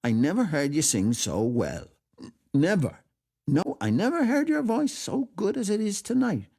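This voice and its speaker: Man acting an excitable, high-voiced gushing partygoer. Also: moderate pace, vocal fry, full character voice. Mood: gushing, excited. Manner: repetitive, emphatic, effusive.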